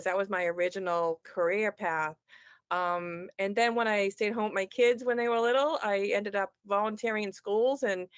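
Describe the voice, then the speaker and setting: A woman's voice: mid-range voice, smooth, no echo, clean studio sound. A middle-aged woman with a strong Boston accent, a guest answering interview questions in a chatty way with many ums and restarts over a remote call. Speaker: guest, ed tech consultant.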